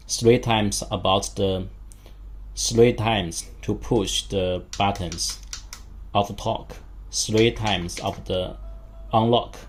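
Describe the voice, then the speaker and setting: A man demonstrating a product step by step in non-native English, speaking in short, halting phrases and simple instructions. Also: on the low side, slight background noise.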